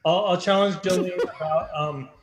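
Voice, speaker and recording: A man's voice: high voice, steady tone, normal speed, reverberant, a crowd in the background. A man playing as a game show contestant, bantering casually.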